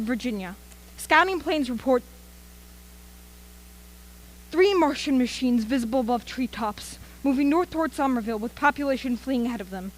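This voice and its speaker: A serious radio announcer played by a woman delivers urgent news bulletins in a high voice. The reading is formal and measured, in the manner of a radio drama. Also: natural voice, rough recording. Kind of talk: radio play newsreading. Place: school gym used as theater.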